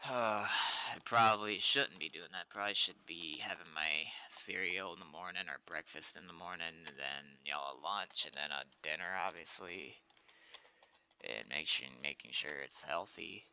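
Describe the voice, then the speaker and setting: Bright, crisp young man, casually rambling. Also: low voice, moderate pace. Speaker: streamer and game developer. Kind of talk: casual livestream chat.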